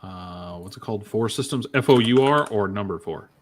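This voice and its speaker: A man's voice: fairly low pitch, slight background noise. A man hosting a casual podcast live stream, joking and teasing his co-hosts. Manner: jokey, quick laughs, teasing. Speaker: podcast host.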